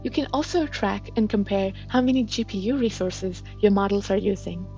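A woman reading a scripted sponsor ad in a smooth, rehearsed way. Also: medium pitch, moderate pace, muffled recording. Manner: smooth, even, rehearsed delivery. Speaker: sponsor ad reader. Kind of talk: scripted ad read. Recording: distant voice over background music.

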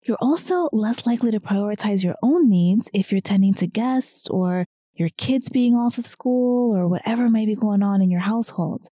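A woman hosting her own weight loss podcast while losing her voice, talking softer than usual in an encouraging tone. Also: medium pitch, moderate pace, no room sound, studio quality. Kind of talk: solo podcast talk.

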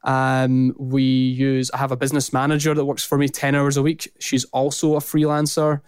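A Scottish man in his thirties with a smooth, crisp voice, speaking casually as a guest over a remote call. He strings long lists together in a loose, chatty flow. The sound is close and dry, with no room around it. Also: mid-range voice, normal speed, no room sound. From Scotland. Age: 30s. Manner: rambling, list-heavy, lively. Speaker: podcast guest, business founder. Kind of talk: casual interview talk. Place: his office.

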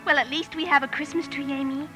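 A gentle, uncomplaining young girl in a vintage radio play. The voice is high and bright, earnest and sweet, with faint music behind it. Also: fairly even intonation, quick pace. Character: gentle, uncomplaining, peace-making young sister. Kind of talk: 1950s radio drama acting.

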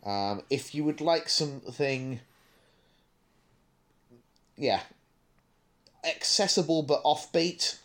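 A man's voice: a little high, lively intonation, takes it slowly, pauses often, a bit bright. A man co-hosting a podcast, chatting casually and discursively, thinking aloud with hesitant asides. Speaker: podcast co-host.

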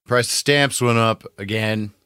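A man's voice: fairly low pitch, unhurried, bright, forceful tone, smooth, no echo. A man co-hosting a casual pop culture chat in a jokey, sardonic manner. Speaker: podcast co-host.

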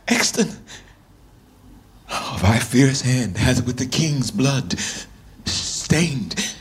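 A man's voice: medium pitch, animated, very slow, breathy edge, exaggerated voice. An actor playing an imprisoned, deposed king, weary, anguished and defiant, in grand theatrical verse for a Shakespeare radio play. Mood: dying, solemn.

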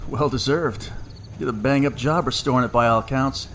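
An actor playing a smug, folksy dark man with quiet menace in an audio drama. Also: medium pitch, moderate pace, close to an everyday voice. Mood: smug, chatty. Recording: faint background noise.

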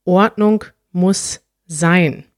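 A woman's voice: on the low side, extremely slow, no room sound, studio quality. A woman co-hosting a podcast in casual chat, talkative and thinking aloud as she explains. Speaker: podcast co-host.

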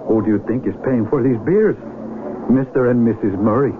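An actor playing a practical, wry mountain climber and patient friend in a 1970s radio drama, measured and dryly teasing. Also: male voice, medium pitch, close to an everyday voice. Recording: narrowband, noisy old radio broadcast.

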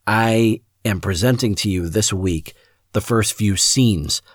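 A man's voice: lowish voice, slow pace, no room sound, clean studio sound. A man hosting a podcast, speaking enthusiastically and appreciatively to his listeners in an introduction. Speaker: podcast host. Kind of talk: scripted-sounding podcast introduction addressed to listeners.

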